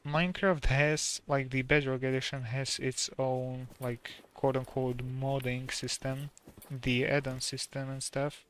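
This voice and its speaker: A soft-spoken man with a Slovak accent, a native Slovak speaker, gives calm, casual commentary while streaming a game. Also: medium pitch, measured pace, hardly any pauses, clear, resonant tone.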